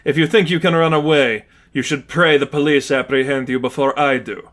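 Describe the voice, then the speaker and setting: A man voicing a cold, controlling male villain in an audiobook, speaking in a commanding, deliberate, threatening way. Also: mid-range voice, steady tone, fairly bright tone, close to an everyday voice. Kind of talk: fan fiction audiobook character acting.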